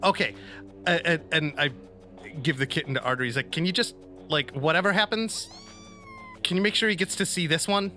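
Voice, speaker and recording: A man playing a tabletop roleplaying game, improvising casually with hesitant, halting phrasing. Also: fairly high pitch, bright, forceful tone. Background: faint music.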